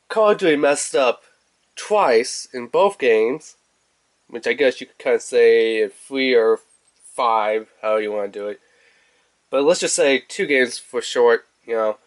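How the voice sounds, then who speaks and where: A man with a stuffy nose rambling casually through a video game unboxing review, full of fillers, recorded indoors at home on a phone or camera above a table. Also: mid-range voice. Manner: rambling, filler-heavy, talks to camera while handling the case. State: stuffy nose, congested, clearing throat. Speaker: gaming channel host.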